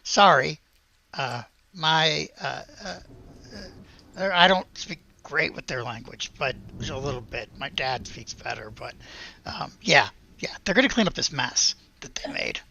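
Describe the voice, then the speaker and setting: A man voicing an earnest, pleasant vineyard man who speaks haltingly in a tabletop game. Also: a little high, lively intonation, vocal fry, bright, forceful tone, a little hiss or hum, exaggerated voice, poor audio. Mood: apologetic, halting. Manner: hesitant, halting speech.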